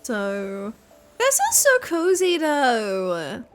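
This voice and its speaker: A woman giving casual, expressive live reactions as she plays a game, with a giggly manner. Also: fairly high pitch, extremely slow. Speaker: gaming reaction streamer. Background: game music.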